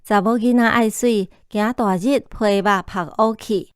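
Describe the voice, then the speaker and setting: A woman's voice: mid-range voice, fairly even intonation, unhurried, clear, resonant tone, dry recording, studio quality, natural voice. An actress playing a man's knowing older sister in a Taiwanese radio drama, explaining and reasoning.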